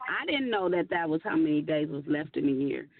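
A hoarse woman co-hosting a casual radio talk show, earnest and animated, laughing often. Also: sits low, a little fast, no echo. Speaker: co-host. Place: radio studio. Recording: tinny phone-like radio audio.

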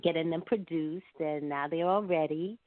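A woman's voice: low voice, normal speed, no echo. A woman being interviewed as a singer, answering conversationally and reflectively at some length over a phone call. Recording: narrow-band, phone-like line.

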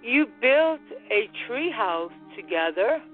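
An actress playing a patient, gently firm middle-aged wife in a radio drama. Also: female voice, fairly high pitch, big pitch swings, slow pace, faint music behind. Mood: soothing. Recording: muffled phone-like audio.

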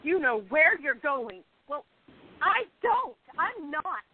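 High-voiced, bitter young actress played by a woman. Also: big pitch swings, slow, heavily acted. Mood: angry, bitter. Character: depressed, envious, defensive actress almost thirty. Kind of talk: radio play acting. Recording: thin phone-like audio.

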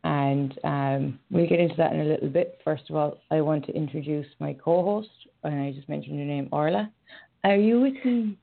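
Gravelly Irish woman on a phone line. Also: low voice, moderate pace. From Ireland. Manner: hesitant, many fillers and restarts. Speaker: radio show host and horse rider. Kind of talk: casual online radio chat. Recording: phone-line call audio.

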